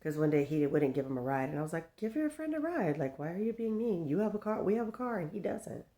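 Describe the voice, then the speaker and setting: A woman's voice: fairly low pitch, sing-song, fast talker, mellow. A woman in her fifties rambling casually to her viewers, laughing often and drifting from story to story. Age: 50s. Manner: chatty, laughs often, drifts between stories, baby-talks to her dog. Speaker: vlogger. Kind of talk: rambling casual chat to camera.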